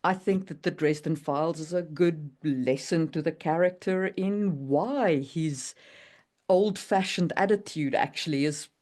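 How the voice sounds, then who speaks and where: A woman chatting casually and opinionatedly, in an animated way, as on a writing podcast. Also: low-pitched, measured pace. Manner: animated, opinionated, laughs readily. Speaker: podcast guest discussing writing. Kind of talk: casual chat.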